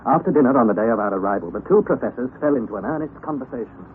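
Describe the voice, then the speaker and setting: A man's voice: mid-range voice, expressive, racing, narrow, tinny audio, lightly acted. An earnest, sensible young man played by an actor speaks with theatrical articulation in a vintage radio adventure. The voice moves animatedly over a wide range, heard through a thin, noisy old broadcast. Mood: measured storytelling. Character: sensible, doubtful young nephew narrating an adventure. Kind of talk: old-time radio drama acting and narration.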